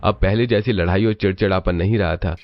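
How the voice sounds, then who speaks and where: A man's voice: sits low, fairly even intonation, a little fast, no echo, dull audio. A man narrating a scripted cartoon story as its storyteller.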